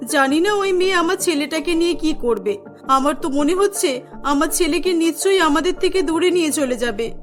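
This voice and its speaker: A woman's voice: extremely high pitch, expressive, moderate pace, soft background music. A woman voicing a complaining middle-aged mother-in-law in a cartoon.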